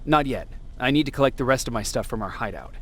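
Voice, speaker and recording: A man's voice: mid-range voice, lively intonation, fast, fairly bright tone. An actor playing a reserved young male private in a video game, terse and plain-spoken. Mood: reluctant.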